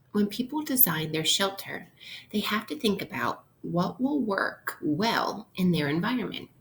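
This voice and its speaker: Slow-paced woman teacher reading aloud to children. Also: on the low side, a little hiss or hum, low-quality recording. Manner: instructional, posing questions to listeners. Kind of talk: interactive read-aloud lesson for young children.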